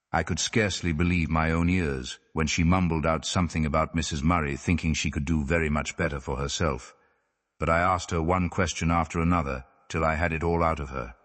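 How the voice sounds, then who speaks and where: Very low-pitched man reading a story. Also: fairly bright tone, no echo. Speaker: audiobook reader. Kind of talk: scripted story narration, reading classic fiction.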